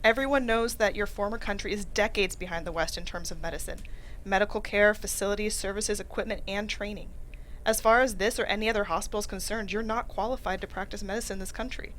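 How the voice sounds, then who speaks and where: Firm, measured female hospital official acted by a woman, mid-pitched, matter-of-fact, faint room noise. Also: a bit bright, lightly acted, low-quality recording. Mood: firm, formal. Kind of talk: table read acting.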